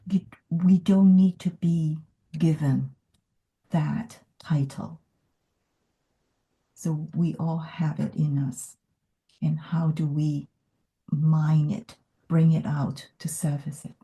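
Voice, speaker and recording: A woman from Hong Kong, whose first language is not English, speaking in a thoughtful podcast conversation with many pauses and fillers. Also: deep voice, steady tone, vocal fry, dark tone, poor audio. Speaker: podcast guest. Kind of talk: reflective conversation.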